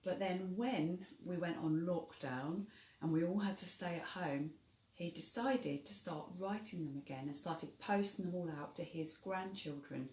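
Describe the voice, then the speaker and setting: A woman's voice: lowish voice, unhurried, a little mellow. A middle-aged woman chatting casually as she introduces and co-presents children's stories. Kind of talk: casual spoken introduction and chat. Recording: echoey room.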